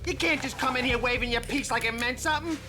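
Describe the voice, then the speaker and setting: An actor playing a whiny, panicky mob boss who yells in brash tough-guy slang. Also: very high voice, fast. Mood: scornful. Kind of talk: video game voice acting. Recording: game audio with loud music.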